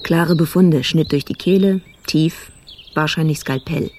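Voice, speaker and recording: A woman playing a sober, troubled police investigator in a radio drama, talking in clipped fragments with heavy pauses. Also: deep voice, a little mellow, a little hiss or hum. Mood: sober, frustrated.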